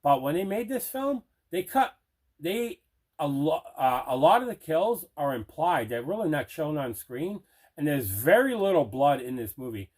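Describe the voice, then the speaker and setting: A man's voice: on the high side, big pitch swings, normal speed, darkish tone, husky. A man hosting a casual unboxing video as a collector, talking in an enthusiastic, conversational way. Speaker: movie collector, video host.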